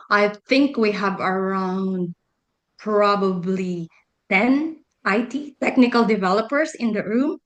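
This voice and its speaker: A middle-aged woman with a Filipino accent, speaking as an interview guest and telling her personal story in a conversational way. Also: medium pitch. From Philippines. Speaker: podcast guest, energy healer and life coach.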